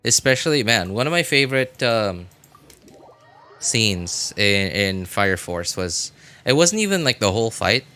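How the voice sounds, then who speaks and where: A man with a fairly low, bright and punchy voice commentates casually on a livestreamed game. He rambles and thinks out loud as he goes. Faint music plays behind him. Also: big pitch swings, unhurried. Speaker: game streamer.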